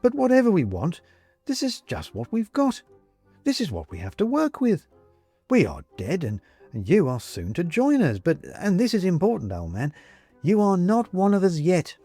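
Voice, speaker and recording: A man voicing a jovial, persuasive ghost gentleman in an audiobook, with a British accent and clipped, chummy, old-fashioned upper-class phrasing. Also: sits high, huge pitch swings, moderate pace, slightly rough, no room sound, soft background music, voice pushed up, full character voice. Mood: earnest, persuasive. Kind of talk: audiobook character acting.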